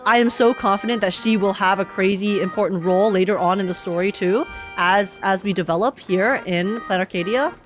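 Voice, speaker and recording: A woman giving casual, excited live commentary on a video game as a streamer, rambling and emphatic with plenty of slang. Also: medium pitch, fairly quick, hardly any pauses, soft background music.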